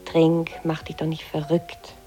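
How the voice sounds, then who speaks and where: An actress playing a practical, reassuring woman in intimate radio drama dialogue, with a mellow, creaky voice. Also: sits low, monotone, unhurried, over music. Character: practical, reassuring woman, career-minded media worker.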